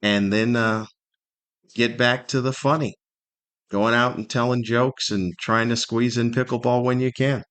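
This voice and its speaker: A man's voice: mid-range voice, fairly even intonation, a little slow, a bit bright. A middle-aged or older man hosting a solo podcast, rambling casually with wry humor and plenty of rhetorical questions. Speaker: podcast host.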